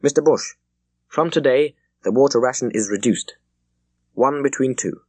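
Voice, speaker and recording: A man's voice: mid-range voice, moderate pace, a little mellow, no echo, pitched above the performer's own voice, lightly acted. A male narrator voices a young sea captain from a historical audiobook. He is formal, courteous and authoritative, with clipped commands that ring out firmly in a dry recording. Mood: urgent, commanding. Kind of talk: dramatized audiobook character dialogue.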